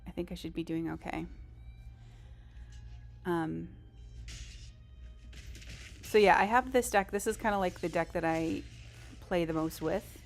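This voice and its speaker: Casual, slightly low-pitched woman streamer. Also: measured pace, creaky, long pauses, faint music behind. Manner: rambling, self-deprecating, informal. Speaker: live streamer. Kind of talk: casual gaming stream chat.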